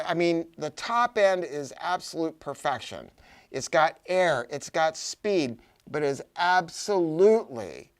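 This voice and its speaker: Male American product reviewer, highly animated delivery, chatty and emphatic, off-the-cuff salesman style. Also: smooth. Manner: chatty, emphatic, repeating words for stress. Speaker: audio shop owner presenting a product review. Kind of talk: enthusiastic unscripted product pitch.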